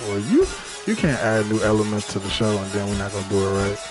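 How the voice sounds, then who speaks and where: A man griping casually as a radio show co-host in heavily profane slang, with music clearly playing behind. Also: lowish voice, big pitch swings, fast. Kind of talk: casual chat.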